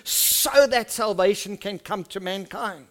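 A middle-aged male pastor whose first language is Afrikaans, with a South African Afrikaans accent, preaching earnestly and moving between hushed, soft stretches and loud, emphatic ones. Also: sits high, a little slow. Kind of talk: sermon with personal storytelling.

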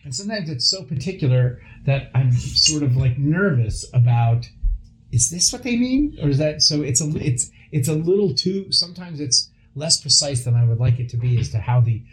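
A man's voice: fairly high pitch, expressive, normal speed, rough recording. Man chatting casually, hesitant and roundabout. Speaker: food radio show co-host. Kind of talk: casual kitchen chat. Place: indoors in a kitchen.

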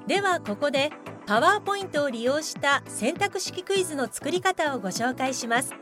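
Woman as a high-pitched tutorial narrator over music. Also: expressive, brisk. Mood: helpful. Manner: polite, explanatory. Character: bright instructional narrator of software tips. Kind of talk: scripted tutorial narration in radio drama.

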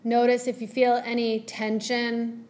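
A woman leading a guided meditation as a yoga teacher, speaking gently and soothingly in measured phrases with long pauses. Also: fairly high pitch, completely flat delivery.

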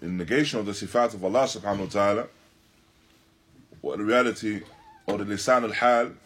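A man teaching in a religious lecture, deliberate and emphatic, repeating and rephrasing his phrases as he explains a text. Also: lowish voice, fairly bright tone, breathy edge. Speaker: religious teacher giving a lesson. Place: Islamic centre, live stream.

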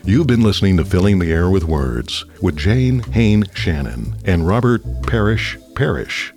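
A man reading a scripted outro announcement for the show in a measured, formal way. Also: deep voice, sing-song, a little mellow. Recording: music playing underneath.

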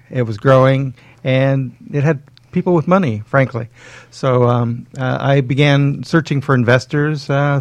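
A man's voice: mid-range voice, dry recording. A man explaining things conversationally as an expert guest in an interview. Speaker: guest, LinkedIn coach.

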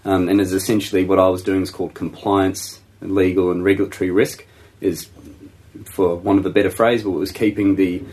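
A man's voice: low-pitched, flat delivery, a little fast, a little mellow. A young man with an Australian accent informally introducing his professional background as a podcast guest.

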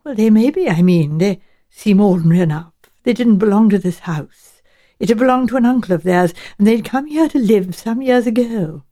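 Shrewd, genteel old English lady detective for audiobook woman performing; soft-edged tone, thoughtful and chatty. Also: medium pitch, animated, moderate pace, lightly acted. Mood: musing, measured. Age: elderly. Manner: musing, hedging, politely chatty. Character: shrewd, genteel elderly village spinster turned amateur sleuth.

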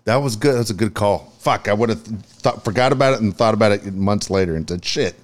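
A man co-hosting a casual podcast, halting and restarting as he swears and jokes. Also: on the low side. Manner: halting, stammering restarts, profane. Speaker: podcast co-host.

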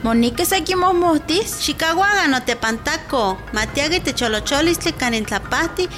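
Village midwife, high voice, instructive, radio soap with music underneath. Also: female voice, animated, normal speed. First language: Nahuatl. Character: village godmother and midwife giving counsel. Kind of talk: radio drama acting in Nahuatl. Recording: music bed behind the voice.

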